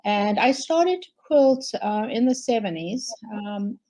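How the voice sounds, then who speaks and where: An older woman, a quilt designer, answering interview questions thoughtfully with frequent pauses and ums over a video call. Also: fairly high pitch, animated, warm tone. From South Africa.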